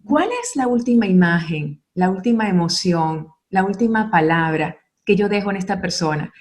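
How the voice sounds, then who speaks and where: Woman from Venezuela, middle-aged, earnest, reflective, interview guest. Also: on the low side. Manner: thoughtful, earnest, pauses to reflect. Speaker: guest speaker.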